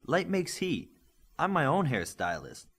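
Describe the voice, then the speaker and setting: Man voicing a teenage superhero girl, higher pitched, confident, proud, friendly, audiobook character. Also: wildly swooping pitch, measured pace, a bit bright, husky, full character voice.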